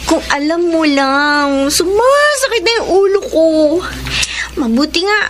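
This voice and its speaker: High, lively, principled young woman. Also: a little slow, background noise. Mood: troubled, confiding. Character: firm, principled young woman student with a boyfriend. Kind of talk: radio drama acting.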